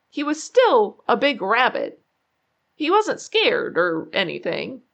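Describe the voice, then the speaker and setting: A woman reading a children's story aloud in an expressive way, drawing out key words for suspense. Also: high voice, vocal fry, dull audio. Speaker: children's book narrator. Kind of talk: animated read-aloud storytelling.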